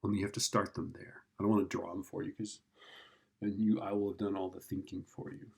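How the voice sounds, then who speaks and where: A man's voice: low-pitched, little pitch movement, a little fast, creaky, a little mellow, husky. A man teaching physics informally, working through a problem step by step and thinking aloud in a casual, check-in manner. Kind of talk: informal worked-problem explanation.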